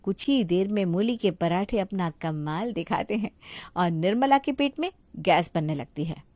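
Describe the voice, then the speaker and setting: A woman's voice: fairly low pitch, animated, normal speed, darkish tone, no echo. A woman reading scripted narration for a moral story as its narrator.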